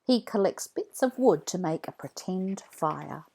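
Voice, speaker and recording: Female children's storybook narrator: unhurried, fairly low voice, expressive read-along delivery. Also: creaky.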